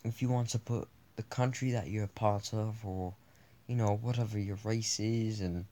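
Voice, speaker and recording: A man's voice: fairly low pitch, steady tone, normal speed, dark tone. A young man whose first language is Italian, hosting a gaming channel and rambling with jokes and restarts as he talks into a handheld mic. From born in Spain, raised in Italy, France, Britain and Germany. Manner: rambling, joking, self-deprecating, many restarts. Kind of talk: casual thank-you vlog over gameplay. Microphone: handheld mic over screen capture.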